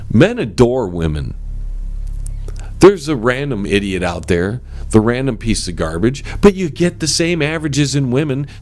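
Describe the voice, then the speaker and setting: Animated, forceful male talk show host. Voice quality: strong voice. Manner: animated and forceful, swings up in pitch; addresses listeners as ladies and gentlemen. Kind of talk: opinionated talk radio monologue.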